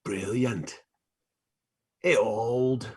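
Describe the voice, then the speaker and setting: A man playing a rough, murderous street criminal in a stage play reading, husky, speaking clipped working-class slang. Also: medium pitch, fairly even intonation, extremely slow. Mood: calculating.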